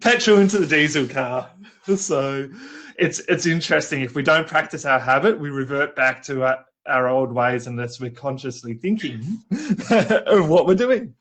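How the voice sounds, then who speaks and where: A man's voice: a little high, expressive, moderate pace, fairly bright tone. A man appearing as a guest on a leadership interview, telling anecdotes, asking questions and laughing often. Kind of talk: casual interview over a live video call.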